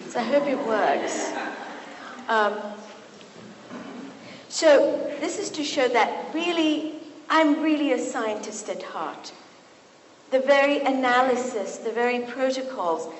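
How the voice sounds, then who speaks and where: A middle-aged woman from Kenya gives a physician's lecture in a large university hall. She tells stories with lively asides in a fairly high voice, and the room's long echo rings around her words under a faint hiss. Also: slow, pauses often. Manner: warm and storytelling, with lively asides. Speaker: physician and speaker. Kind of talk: lecture talk to an audience. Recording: echoey hall, faint noise.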